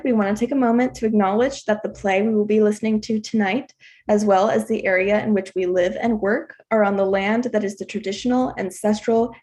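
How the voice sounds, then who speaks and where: An American woman speaks as the host of an online community event, with a middle-pitched voice. She is giving a welcome and introduction over a video call. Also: a little mellow. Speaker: event host, theater company marketing coordinator.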